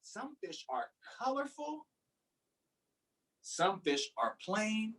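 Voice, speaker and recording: A man's voice: high voice, very animated, slow, pushed and bright, distant mic. A man hosting a storytime, reading aloud and chatting simply and encouragingly with young children. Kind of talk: read-aloud and casual chat to young children.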